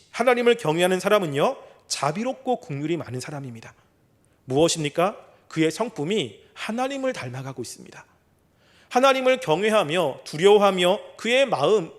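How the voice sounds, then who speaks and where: A middle-aged male pastor giving a sermon. He asks rhetorical questions and reads scripture slowly and deliberately. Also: sits high. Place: church sanctuary.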